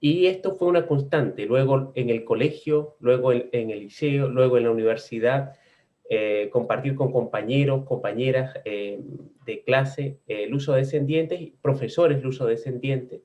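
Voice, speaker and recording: Man, from venezuela, researcher, measured, many eh pauses, mid pitch, muffled lo-fi audio. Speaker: guest panelist, researcher. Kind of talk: academic discussion.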